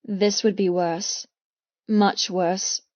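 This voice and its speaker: A woman's voice: mid-range voice, steady tone, drawn-out words, darkish tone, no room sound. Woman narrating an audiobook, dry and intense. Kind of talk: dramatic first-person audiobook narration. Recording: slightly muffled, dry close studio sound.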